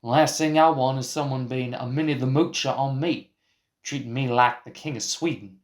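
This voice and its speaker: A man voicing a folksy, cocky young Texan with an excitable manner and a Texan accent, in a radio play. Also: mid-range voice, normal speed, natural voice. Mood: proud, earnest.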